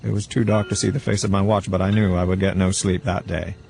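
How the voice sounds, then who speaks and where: A man playing a weary, brooding first-person narrator, telling a story in a measured, reflective way. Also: low-pitched, level tone, vocal fry, a little mellow, a little hiss or hum. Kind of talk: scripted story narration, hardboiled. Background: music.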